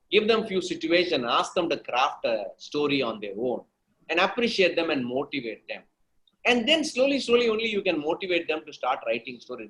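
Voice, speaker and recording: Higher-pitched Indian-accented man, emphatic explainer. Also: a bit bright, low-quality recording. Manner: emphatic teaching, repeats phrases, checks with "okay" and "right". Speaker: guest speaker, storytelling coach. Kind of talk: conversational interview answers with anecdotes.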